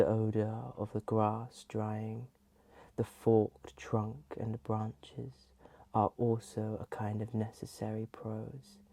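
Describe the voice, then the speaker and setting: A soft, breathy ASMR host reading in a hushed voice that often slips into a whisper. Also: mid-range voice, no echo.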